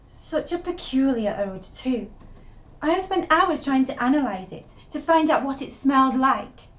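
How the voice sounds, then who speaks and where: High-voiced, obsessive wife, acted by a woman. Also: animated, normal speed. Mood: obsessed, uneasy. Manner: confiding, intense, theatrical, with shifts from hushed to emphatic. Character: unravelling, obsessive young wife confiding secrets in her diary. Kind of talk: dramatic first-person monologue reading.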